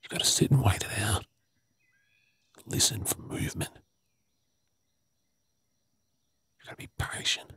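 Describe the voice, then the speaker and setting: An Australian man playing a gruff, wary zombie apocalypse survivor in a whispered ASMR role play, terse, urgent and husky. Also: a bit bright, close to an everyday voice. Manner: terse, urgent, slow clipped phrases.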